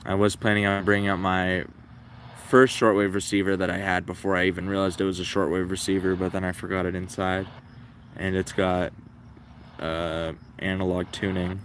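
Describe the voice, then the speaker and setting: A man casually thinking out loud as he hosts a hobby livestream, in a low-key, rambling, unhurried way. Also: little pitch movement, slight background noise. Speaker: livestream host, radio hobbyist. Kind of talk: casual livestream commentary, musing aloud.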